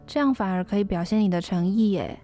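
A woman's voice: medium pitch, fast talker, warm tone, close to an everyday voice. An actress with a Taiwanese Mandarin accent playing a caring, thoughtful young woman dorm mate in a radio drama. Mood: gentle, earnest. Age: young adult.

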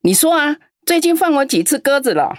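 A woman's voice: high voice, very animated, fairly quick, crowd noise. A woman acting a lonely elderly grandmother in a radio drama, doting on the young but scolding her grown daughter in an aggrieved way. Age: older. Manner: fond and sighing, turns scolding and aggrieved.

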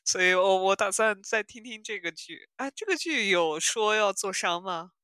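A woman's voice: medium pitch, expressive, normal speed, sharp tone, smooth, dry recording, clean studio sound. A woman co-hosting a podcast in a casual, conversational chat. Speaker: podcast co-host. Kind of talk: casual chat about audio dramas.

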